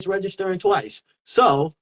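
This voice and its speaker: A man's voice: high-pitched, animated, unhurried, muffled recording. A man co-hosting a talk show, chatting casually and informally, in a conversational storytelling way. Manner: informal, conversational, quotes himself. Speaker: talk show co-host, youth worker. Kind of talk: casual anecdotal chat.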